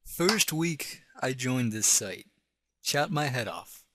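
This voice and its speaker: A young man on a casual podcast, tossing in deadpan, profane asides while chatting with friends in one room. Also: mid-range voice, slow, noisy background. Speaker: podcast co-host. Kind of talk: casual chat. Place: shared room with co-hosts. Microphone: clip-on mic on shirt.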